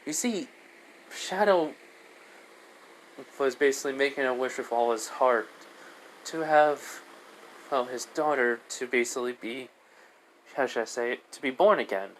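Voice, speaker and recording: A man takes the part of a mystical woman guide in improvised storytelling, at a medium pitch. She is calm and gentle, explaining things patiently. Clear noise sits behind the voice. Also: a little slow, long pauses, lightly acted. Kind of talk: amateur character voice in improvised storytelling. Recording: noisy amateur recording.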